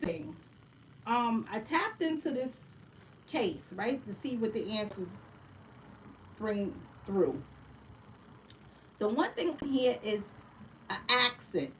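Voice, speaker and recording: A woman's voice: fairly high pitch, big pitch swings, takes it slowly, pauses often, a bit bright, distant mic, low-quality recording. Female card reader, conversational and informal, sitting back from the mic with a light noise floor. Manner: chatty, keeps asking "right?" and calls listeners "family". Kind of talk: casual livestream card reading.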